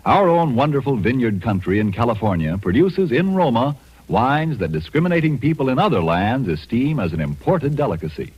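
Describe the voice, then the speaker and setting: A man's voice: mid-range voice, big pitch swings, brisk, breathy edge. A man reading a scripted 1940s radio advertisement as a polished, emphatic announcer. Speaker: commercial announcer. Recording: dull lo-fi vintage radio, music behind.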